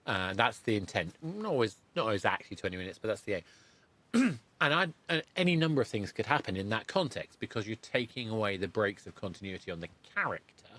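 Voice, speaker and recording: Animated, low-voiced male podcast host. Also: quick pace. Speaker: podcast host interviewing a guest. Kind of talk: conversational interviewing.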